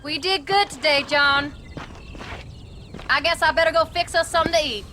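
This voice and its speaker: An actress playing a sharp-tongued but loving frontier rancher's wife in a game, with a rural Western American accent and a wry, folksy manner. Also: female voice, sits high, moderate pace, a little hiss or hum. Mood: plain, warm. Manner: wry, folksy, plain-spoken. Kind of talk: dramatic game cutscene acting.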